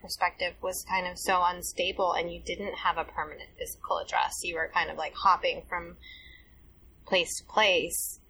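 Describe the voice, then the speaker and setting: A woman's voice: lowish voice, little pitch movement, moderate pace, sharp tone, distant mic, a little hiss or hum, poor audio. A woman hosting a conversation, asking curious, probing questions. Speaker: host. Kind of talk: interview questions.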